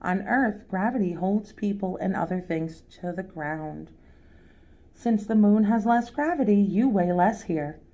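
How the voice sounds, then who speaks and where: Woman voicing calm moon guide Luna, slightly muffled. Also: mid-range voice, unhurried. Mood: instructive. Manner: formal, instructive phrasing. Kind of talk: children's storybook read-aloud character.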